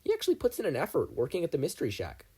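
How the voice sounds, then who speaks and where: A reader voicing a teenage girl who speaks softly and reproachfully. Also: medium pitch, racing, breathy edge, pitched above the performer's own voice. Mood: soft reproach. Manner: soft, steady, reproachful.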